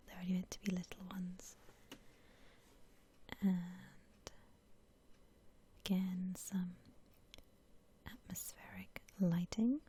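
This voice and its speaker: A woman whispering softly in a gentle, unhurried, musing way, as in ASMR commentary on a game she is playing. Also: on the low side. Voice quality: soft breathy whisper. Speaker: gaming ASMR host.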